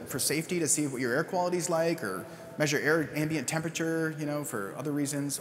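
A man giving a conversational conference keynote, with fillers and quick self-corrections. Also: on the high side, in a large hall, a little hiss or hum. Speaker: keynote speaker.

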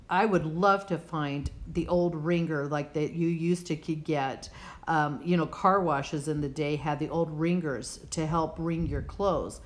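A woman hosting a preparedness vlog, talking casually and instructively, plainspoken and speaking straight to the viewer. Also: on the low side. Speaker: host of a prepping channel.

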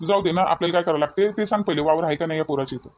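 Placating middle-aged village husband voiced by an actor for comic cartoon; brisk Varhadi speech, mid pitch, muffled lo-fi sound. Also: natural voice. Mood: mildly impatient. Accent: Varhadi Marathi of Vidarbha.

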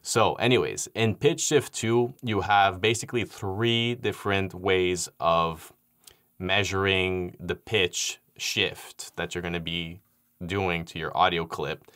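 Low-voiced young man teaching audio software. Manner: relaxed explanatory, frequent fillers. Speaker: audio editing tutor. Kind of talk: software tutorial. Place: dark studio with piano. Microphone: studio microphone on stand.

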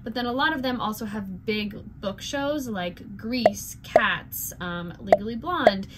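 A young woman chats casually to a camera in her small cabin bedroom, her voice neither high nor low. She talks with fillers and quick asides. A steady background hum runs under the recording. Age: 20s. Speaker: cruise ship singer and dancer. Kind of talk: casual vlog explainer. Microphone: camera in front at desk distance. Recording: noisy room with ambient hum.

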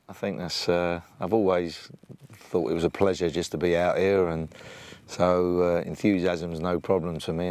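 Gravelly low-voiced English coach outdoors. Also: male voice, a little mellow. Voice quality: booming voice. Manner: plain-spoken, hesitant with many ums and restarts. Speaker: assistant football coach. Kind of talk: sit-down interview. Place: outdoors beside a football training pitch. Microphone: off-camera mic, no lapel.

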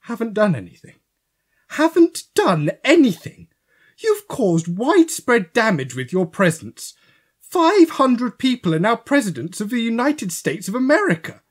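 Stern, husky archangel, played by a woman. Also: fairly high pitch, big pitch swings, measured pace, full character voice. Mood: outraged. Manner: accusing, emphatic, reproving. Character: stern, righteous archangel scolding like a judge. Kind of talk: comic audio drama acting.

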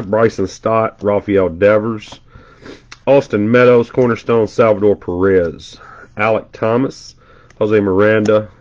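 Brief: congested male host, lowish voice, quick chatty card-break patter, dull lo-fi audio. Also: a little mellow. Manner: rattles off player names rapidly, chatty asides. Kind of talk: casual live-stream commentary while opening packs. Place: indoor room at a table.

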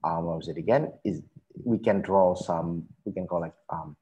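A man in his thirties with an Indonesian accent, answering as an academic panelist on a headset, politely and haltingly, often repeating himself. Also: low-pitched, dark tone, poor audio. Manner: hesitant, halting, repetitive, polite. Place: small room at home, video call.

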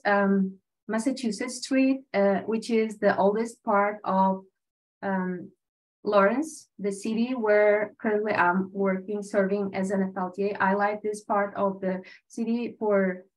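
A woman's voice: medium pitch, rough recording. A woman from Tajikistan, sharing her teaching exchange experience in an earnest, conversational way with many fillers over a video call. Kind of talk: online panel presentation with slides.

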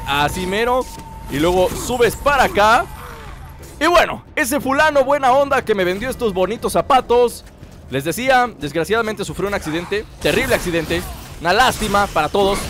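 A young man with a Mexican accent, stuffy from a cold, giving playful, jokey commentary over a video game he is playing, breaking into excited shouts. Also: high voice. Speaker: gameplay commentator. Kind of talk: casual let's-play commentary. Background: game music.

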